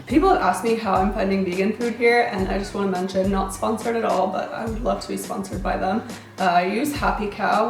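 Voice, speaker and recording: A woman's voice: medium pitch, echoey room, distant mic. A woman talking casually and with enthusiasm in a travel vlog, full of "like" and "really, really". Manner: enthusiastic, chatty, lots of "like" and "really, really". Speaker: travel vlogger.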